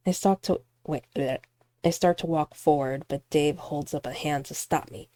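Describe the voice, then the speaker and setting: A woman reading a visual novel aloud as expressive narration, in the manner of a game player acting out a story. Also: low voice, normal speed, no echo. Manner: acts out character lines while narrating.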